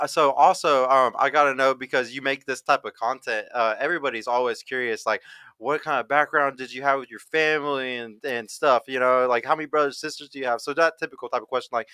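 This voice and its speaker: A man's voice: medium pitch, fairly even intonation, fast talker, pushed and bright, clear, resonant tone, dry recording. A man hosting a live podcast from a studio, hyped and rattling off plugs. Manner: hyped, plug-heavy delivery. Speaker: podcast host. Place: studio in Dallas.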